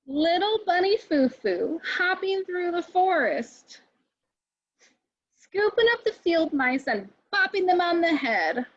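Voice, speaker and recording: A woman's voice: very high-pitched, very animated, takes it slowly. A woman hosting a children's storytime, reading and chatting playfully to young listeners through a dull, lo-fi microphone. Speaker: children's librarian, storytime presenter. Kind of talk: children's storytime read-aloud.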